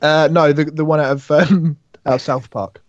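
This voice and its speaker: A man's voice: on the high side, normal speed, dry recording, highs cut off. A man who is a parent, co-hosting a live podcast and joking around with playful asides. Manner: playful, animated storyteller. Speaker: podcast co-host. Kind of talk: casual live-stream chat.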